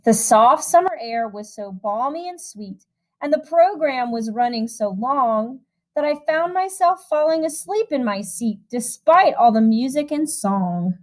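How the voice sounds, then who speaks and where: A woman music teacher reading a picture book aloud to young children in an expressive, animated way, with chatty asides. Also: a little high, measured pace.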